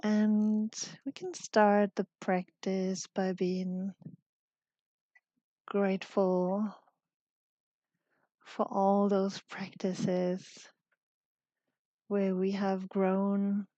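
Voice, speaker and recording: Slow, even-voiced woman teaching yoga. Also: mid-range voice, dry recording, dull audio. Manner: calm, soothing, gentle, with long pauses. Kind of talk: guided yoga class instruction.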